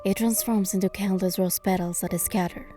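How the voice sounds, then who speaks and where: A woman voicing a bold, curious young heroine, with expressive storytelling delivery in a fantasy game. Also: medium pitch, moderate pace, faint music behind, close to an everyday voice. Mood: narrating, hushed wonder. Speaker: fan dub voice actor and narrator. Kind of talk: scripted first-person fantasy game narration and dialogue.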